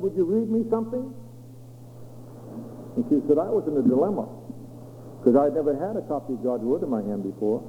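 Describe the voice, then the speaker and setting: High-voiced Maine preacher in an echoey hall. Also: male voice. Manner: dramatic, swooping delivery with acted quotes. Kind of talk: sermon testimony storytelling. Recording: echoey hall over a tinny lo-fi line with faint hum.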